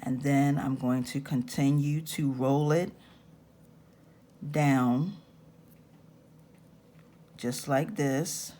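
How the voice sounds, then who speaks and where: Slow-talking raspy woman teaching crafts. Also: very deep voice, steady tone, warm tone. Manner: slow, patient step-by-step instructions. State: raspy-voiced this morning. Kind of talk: hands-on craft tutorial.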